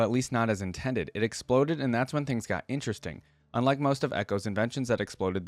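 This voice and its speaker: Low-voiced man reading and reacting, dry sound. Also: dry recording. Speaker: reaction video host. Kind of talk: reading a story aloud with casual reaction commentary.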